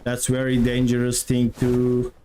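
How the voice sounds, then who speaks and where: A man from Turkey with a thick Turkish accent, chatting with viewers during a live game stream in halting non-native English. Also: medium pitch, completely flat delivery, dark tone, faint music behind. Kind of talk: live stream chat while playing.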